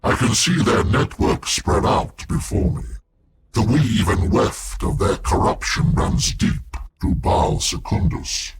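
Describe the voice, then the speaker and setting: An actor playing a solemn, ancient armored war machine in a video game, speaking in a grave, formal, declamatory way. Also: male voice, mid-range voice, expressive, slow, slightly rough, full character voice. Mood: grave, ominous. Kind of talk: scripted video game voice acting.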